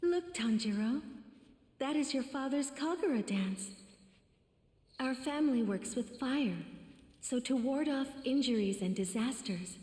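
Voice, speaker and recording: A woman playing a gentle mother in an anime game, calmly explaining things. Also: high voice, a little slow. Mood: tender, reverent. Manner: calm, explanatory. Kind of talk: English anime game voice acting. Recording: echoey, long reverb.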